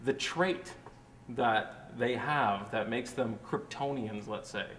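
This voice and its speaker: A man with a bright, punchy voice chats enthusiastically in a casual panel discussion. His delivery is emphatic and sits at a middle pitch. There is faint noise behind him. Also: takes it slowly. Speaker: comic review show panelist.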